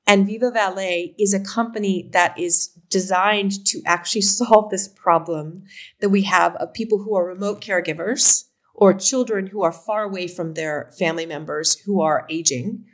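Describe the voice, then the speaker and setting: A woman's voice: medium pitch, normal speed. A woman in her fifties from Oregon with an American accent, talking casually as a company co-founder on a video call. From Oregon, USA. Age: 50s. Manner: animated, gestures while talking. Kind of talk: casual interview talk. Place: home room. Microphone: video call webcam.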